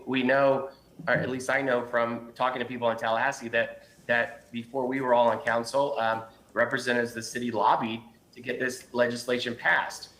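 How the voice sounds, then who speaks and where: A man's voice: mid-range voice, fairly bright tone, rough recording. A man on a city council arguing against a project at a public meeting, earnest and insistent with frequent restarts, losing his voice.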